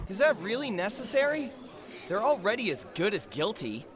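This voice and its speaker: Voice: mid-range voice, sing-song, moderate pace, background noise, natural voice. An actor playing a wary, suspicious witness in a video game courtroom. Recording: music behind the voice.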